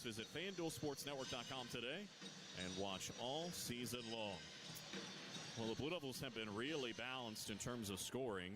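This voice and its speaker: A man calling a basketball game live on radio as the play-by-play announcer. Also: mid-range voice. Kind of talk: live radio sports commentary. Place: courtside in a basketball arena. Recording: loud arena noise behind the voice. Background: arena noise, bouncing ball.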